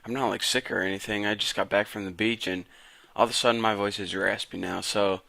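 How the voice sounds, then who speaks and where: A raspy-voiced young man casually teaching a programming lesson to viewers. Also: on the low side, steady tone, a little fast, bright, forceful tone, dry recording. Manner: casual, chatty, talks to viewers as guys. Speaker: programming tutor. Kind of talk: casual screen-recorded coding tutorial.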